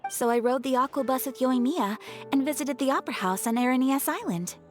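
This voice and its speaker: A woman playing a gentle, polite and serene young lady in an anime video game. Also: sits high, fairly quick, soft background music, lightly acted. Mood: serene, pleasant.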